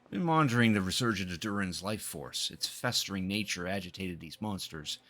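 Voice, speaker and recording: A man with a low voice live streaming a game, reading the dialogue aloud and stumbling now and then before correcting himself. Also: lively intonation, fairly quick, sharp tone. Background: game music.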